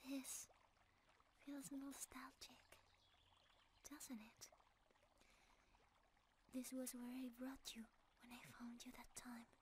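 A woman voicing a gentle, loving, timeless deer-centaur forest creature in a romantic ASMR roleplay, with a soft, breathy, often whispering voice and a calm, tender manner. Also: high voice, a little hiss or hum. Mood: tender, nostalgic. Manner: calm, delicate, tender, unhurried.

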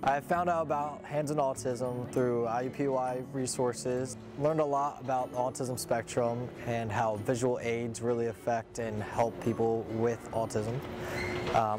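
A man's voice: mid-range voice, little pitch movement, measured pace. Man talking plainly over music. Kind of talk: casual interview.

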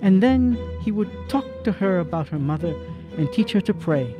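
A man narrating a drama from a script, with measured, solemn storytelling. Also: sits high, animated, over music.

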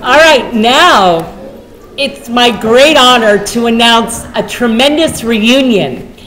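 A woman's voice: a little high, expressive, slow, a bit bright. A middle-aged woman hosting a live event, introducing performers from a lectern. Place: meeting hall, at a lectern. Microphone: lectern microphone. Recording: clear background noise in hall.

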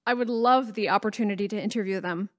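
An actress playing an earnest radio co-host and reporter in an audio drama. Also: female voice, mid-range voice, fast, natural voice. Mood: earnest, concerned.

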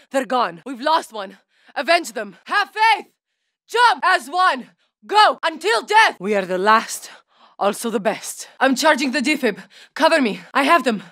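A woman's voice: high voice, a bit bright, no room sound, heavily acted. A woman voicing a battle-hardened female soldier operator in a game, speaking in clipped military callouts with dry humor. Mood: urgent. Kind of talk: game voice lines.

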